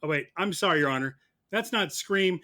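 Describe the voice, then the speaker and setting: A man playing prosecutor in a mock trial, arguing in a sarcastic, halting, conversational way. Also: sits high, lively intonation, bright, forceful tone. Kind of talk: mock courtroom argument, conversational.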